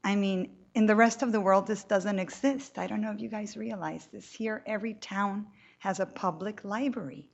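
Animated woman from Colombia. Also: mid-range voice, normal speed, gravelly, hardly any pauses, muffled recording. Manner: animated, talkative, frequent restarts. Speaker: novelist on a panel. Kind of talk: panel talk to an audience. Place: room with a live audience.

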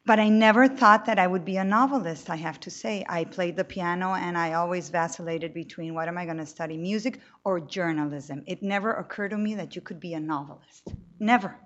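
Animated woman from Colombia. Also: fairly low pitch, brisk, lo-fi sound. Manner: animated, talkative, frequent restarts. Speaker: novelist on a panel. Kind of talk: panel talk to an audience. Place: room with a live audience.

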